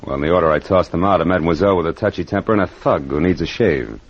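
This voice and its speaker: A man's voice: sits low, very fast, breathy edge. A man playing a wry, wisecracking private detective with an American accent, in 1940s radio acting style. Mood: dry, probing. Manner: wisecracking, hard-boiled. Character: wry, tough male private detective narrating his case. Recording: dull vintage radio recording with music.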